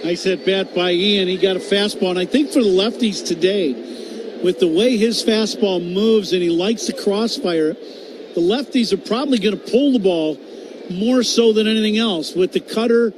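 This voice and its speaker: A man's voice: high-pitched, normal speed. An American man giving conversational color commentary on a baseball radio broadcast. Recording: clearly audible stadium ambience noise. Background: ballpark ambience.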